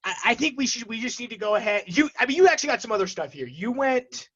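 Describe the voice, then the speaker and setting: Male co-host, notably high voice, unscripted and rambling business chat, slightly muffled lo-fi audio. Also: lively intonation, fast talker, fairly bright tone, husky. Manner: rambling, breaks off and restarts sentences. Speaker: co-host of an energy industry talk show. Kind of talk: casual unscripted banter and commentary.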